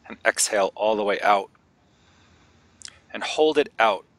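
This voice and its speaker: Low-voiced man guiding a meditation, bright tone. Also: lively intonation, dry recording. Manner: gentle, unhurried instruction with long pauses. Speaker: guided meditation leader. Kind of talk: guided meditation.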